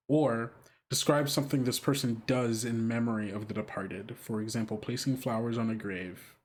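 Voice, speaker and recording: A man improvising scene narration for a tabletop roleplaying game, halting and deliberate as he searches for words. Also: mid-range voice, darkish tone. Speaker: game master and player on an actual-play podcast.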